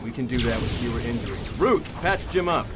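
A man's voice: medium pitch, animated, fairly quick, over loud music, close to an everyday voice. A man acting as a commanding, exasperated military captain in an audio drama. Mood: stern.

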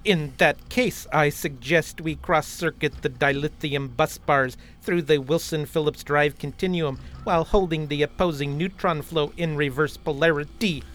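An actor playing a literal, self-important android officer with a formal, deadpan manner in a parody radio play. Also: medium pitch, moderate pace, few pauses, a little hiss or hum, close to an everyday voice.

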